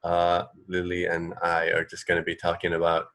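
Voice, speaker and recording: A man with a low voice hosts a relaxed, conversational radio show. He feels his way through explanations, rambling a little and pausing to find the next phrase. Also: crowd noise. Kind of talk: casual co-hosted radio chat.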